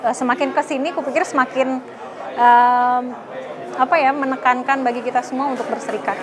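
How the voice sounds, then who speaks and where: A woman's voice: high voice, fairly even intonation, fairly quick, a crowd in the background. A woman speaking reflectively and earnestly in an interview about workers. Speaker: documentary maker. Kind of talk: interview statement.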